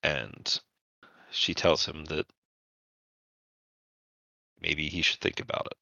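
A man narrating a tabletop roleplaying session as game master, in a halting, informal storytelling manner. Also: extremely low pitch, steady tone, vocal fry, bright, forceful tone, dry recording, muffled recording.

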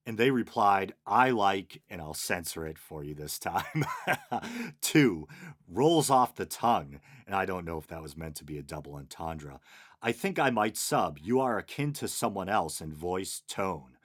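Deep-voiced Boston man, wry podcast host. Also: sing-song, measured pace. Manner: wry, dryly sarcastic, chuckling asides. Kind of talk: casual solo podcast chat, reading listener comments.

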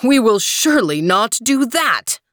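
A woman's voice: a little high, big pitch swings, a little slow, fairly bright tone, dry recording, studio quality, voice pushed up. A woman voicing a stern, commanding old emperor in a children's storybook reading. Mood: angry.

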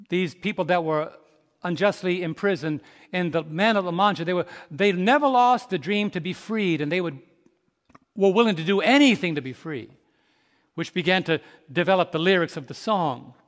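A man in his fifties with a high voice preaches to his congregation, tossing questions out for them to answer. He drops to a slow, quiet hush and then climbs into loud, ringing exhortation. His words hang in the long reverb of a large hall. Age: 50s. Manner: swings from soft, slow reflection to loud, rising exhortation. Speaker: pastor. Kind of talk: sermon.

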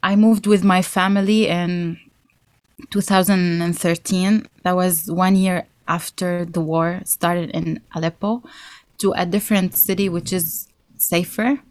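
A young woman from Syria, a band guest in an interview, who answers in a halting way with many fillers and restarts. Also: fairly low pitch, fairly even intonation, no room sound.

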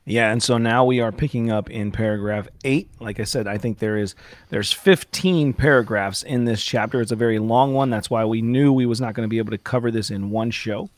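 A man co-hosting a church study show, slightly hoarse from preaching outdoors, reading passages aloud and stopping to clear his throat. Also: on the low side, fairly quick, dry recording. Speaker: co-host discussing a church confession. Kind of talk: Bible study discussion show.

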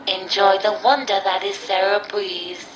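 A woman's voice: medium pitch, little pitch movement, unhurried, background noise, lightly acted. A woman playing a formal, welcoming announcement voice for a futuristic museum, reading a scripted public-address greeting. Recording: echoey, distant announcement over prominent music.

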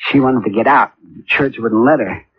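An actor playing an agitated, guilt-ridden narcotics accomplice in an old-time radio crime drama, halting and pleading as he speaks. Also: mid-range voice, husky, natural voice. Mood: insistent, guilty. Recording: muffled, hissy old radio recording.

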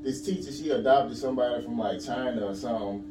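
A man's voice: mid-range voice, quick pace. A man from Alabama hosting a casual podcast chat, rambling with lots of fillers while he asks questions. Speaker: podcast host. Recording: echoey room, distant mic, background noise.

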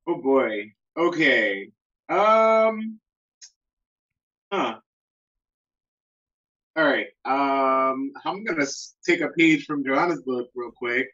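A woman's voice: extremely low pitch, expressive, drawn-out words, pauses often, fairly bright tone, dull audio. A woman of about thirty, a guest on a podcast, chatting playfully and laughing often. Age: 30s. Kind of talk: casual chat.

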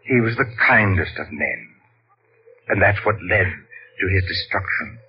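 A man's voice: deep voice, lively intonation, a little hiss or hum. Ghostly old man, sorrowful, ominous, swooping intonation, 1940s radio drama, muffled vintage recording. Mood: grave, sorrowful. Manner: slow, halting, ominous. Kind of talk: 1940s radio mystery acting.

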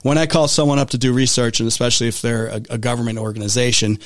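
Male talk host, voice going hoarse, rambling, close dry studio sound; casual political chat. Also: medium pitch, steady tone, racing, no room sound. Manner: rambling, many restarts.

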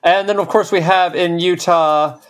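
A man's voice: sits high, steady tone, moderate pace, slight background noise. A man hosting a podcast with friends in loose, playful chat, laughing as he goes. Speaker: podcast host. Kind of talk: casual chat.

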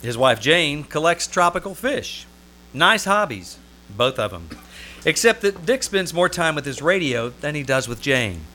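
A man's voice: on the high side, big pitch swings, measured pace, pushed and bright, exaggerated voice. A man playing a showy, playful announcer and storyteller in a 1940s radio show. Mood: wry storytelling. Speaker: announcer and narrator. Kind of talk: old-time radio announcing.

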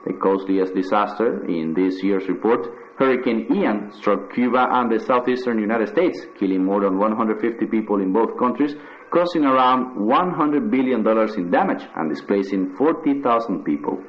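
A man with a fairly low voice reads scripted radio news. The sound is dull and band-limited, like a lo-fi broadcast. He delivers formal reports as a newsreader. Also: expressive, moderate pace.

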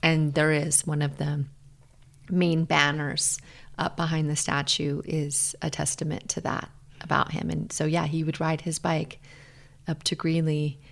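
American woman, middle-aged, low-pitched, gravelly fry, earnest, measured, reflective interview guest. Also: level tone. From Hawaii, USA.

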